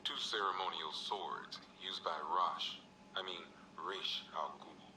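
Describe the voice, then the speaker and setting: An actor playing a gruff, world-weary American police officer, logging evidence for a video game in a terse, streetwise way with dry, wry asides. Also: male voice, low voice, slow pace, recorded from a distance, background noise. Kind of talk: scripted video game evidence log narration.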